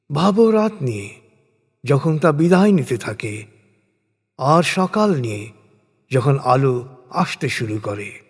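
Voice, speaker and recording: In a long, hall-like reverb, a man narrates scripture solemnly at a slow pace. His fairly high, husky voice is measured and dramatic, pausing deliberately between phrases. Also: a little mellow. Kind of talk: solemn scripted religious recitation in prose.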